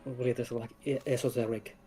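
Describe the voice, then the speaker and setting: A man's voice: medium pitch, level tone, a little fast, warm tone, slightly rough, soft background music. A man speaking casually and a little hesitantly as a game developer guest in an interview. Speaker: game developer, lead designer, stream guest.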